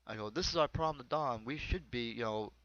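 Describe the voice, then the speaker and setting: A man's voice: medium pitch, fairly quick, fairly bright tone, no room sound. An American man performs an autobiographical comedy monologue in a rambling, conversational storytelling manner. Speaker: amateur comedian. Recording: dull, lo-fi close dry recording.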